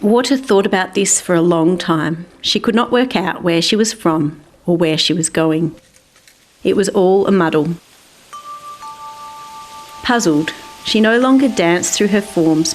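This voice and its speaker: A woman reads a children's story in the role of storyteller. Her voice sits a little low, and faint music runs behind the narration. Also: a little slow, darkish tone, rough recording. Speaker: author and storyteller.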